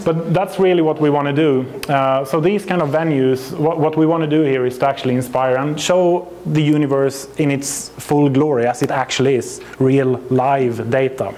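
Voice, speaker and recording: A man's voice: mid-range voice, steady tone, normal speed, few pauses, a little mellow. Swedish-accented man giving a conference talk. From Sweden. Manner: chatty, self-deprecating, with frequent ums and restarts. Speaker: conference presenter. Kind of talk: conference presentation. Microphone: lapel mic.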